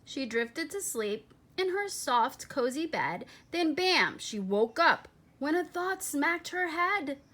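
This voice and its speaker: A woman reads a children's picture book aloud in a high-pitched voice. Her pace is very unhurried, and she phrases each part deliberately, with expressive emphasis as a storyteller.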